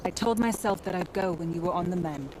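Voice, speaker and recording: A woman's voice: lowish voice, a little fast, background noise, lightly acted. A woman playing a weary, sardonic young fighter in a video game, naturalistic, dry and earnest in delivery. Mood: regretful.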